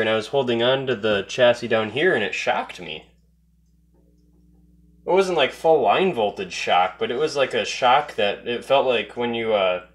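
A man's voice: medium pitch, a bit bright. Offhand man narrating a hands-on repair. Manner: offhand, thinking aloud. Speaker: hobbyist radio repairer. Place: indoor workbench.

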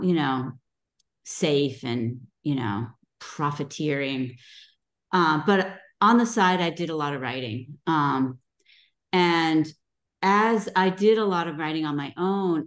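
A middle-aged woman with a notably low voice talks through her background in a loose, chatty way, dotting her sentences with ums. She is speaking over a video call. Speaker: guest author. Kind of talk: casual video call talk.

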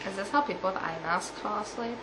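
A woman's voice: mid-range voice, fast talker, heavy background noise. A young woman guest in casual, sarcastic, complaining banter, recorded on a camera mic in a small home room. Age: young adult. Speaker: guest on cousin's vlog. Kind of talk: casual chat. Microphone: camera mic at about arm's length.

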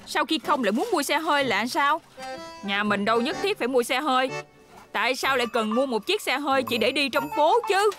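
A woman's voice: high voice, lively intonation, fairly quick. A woman voicing a firm, thrifty mother of young children in a cartoon dub. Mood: scolding. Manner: scolding the family, doting on the youngest. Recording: music behind the voice.

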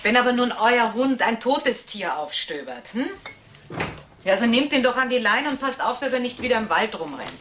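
Earnest, high-voiced woman vet. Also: big pitch swings, moderate pace, background noise. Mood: earnest warning. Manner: instructive, insistent. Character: earnest, cautioning woman veterinarian.

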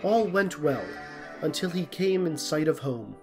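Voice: mid-range voice, animated, a little slow. A narrator reading a scripted storybook tale. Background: plucked string music.

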